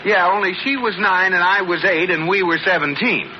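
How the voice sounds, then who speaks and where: An actor playing a sensible, bantering husband with a firm, dry, teasing way, in 1940s radio comedy style. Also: male voice, high voice, fairly quick, close to an everyday voice. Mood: polite, awkward. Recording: muffled, noisy old radio recording with audience.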